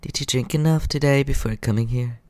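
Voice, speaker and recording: A man playing a courteous, teasing vampire in an ASMR roleplay, soft-spoken, calm and unhurried, close to the microphone. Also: no echo, close to an everyday voice. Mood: calm, businesslike. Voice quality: low, creaky.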